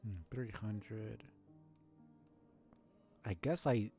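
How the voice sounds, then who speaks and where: A man's voice: fairly low pitch, very slow, dark tone, faint music behind. A young man streaming a game live, thinking aloud in casual muttered commentary with small self-deprecating asides. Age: young adult.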